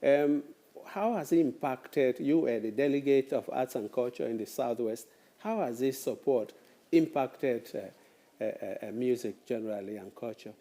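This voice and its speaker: A man's voice: mid-range voice, measured pace, warm tone. A man co-hosting a panel talk show, asking questions hesitantly with many fillers. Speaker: co-host.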